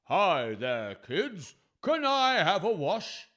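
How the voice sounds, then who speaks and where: A man's voice: high voice, wildly swooping pitch, slow, a bit bright, pitched above the performer's own voice, full character voice. A man voicing a friendly lion in a children's storybook, hearty and polite.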